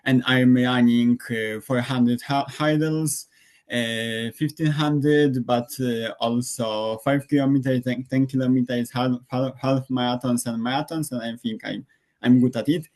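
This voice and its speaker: A young man from Poland, introducing himself haltingly as a student running coach. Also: medium pitch, fairly even intonation, darkish tone. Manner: halting, searching for words. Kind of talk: self-introduction on a video call.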